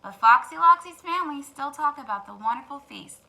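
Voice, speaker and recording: High-pitched, animated woman reading a storybook. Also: brisk, creaky, slight background noise. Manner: addresses young listeners with wondering questions. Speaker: children's storytime host and reader. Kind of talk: animated storybook narration for children.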